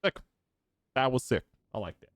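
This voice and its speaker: A man's voice: mid-range voice, moderate pace, a bit bright, clear, resonant tone. A man doing casual let's play commentary of a video game. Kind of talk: casual game commentary, reading dialogue aloud. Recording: game music playing behind the voice. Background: video game music.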